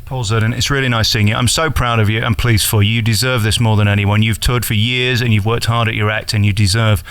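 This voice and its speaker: A man's voice: fairly low pitch, fairly even intonation, fast talker, fairly bright tone, clear, resonant tone, dry recording. A British man conducting a casual celebrity interview in a cheeky, teasing way.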